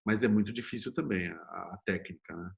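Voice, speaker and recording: Homem brasileiro explicando em entrevista. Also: male voice, on the low side, brisk, gravelly. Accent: Brazilian. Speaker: interview guest, series producer and screenwriter. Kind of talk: conversational explanation in an interview.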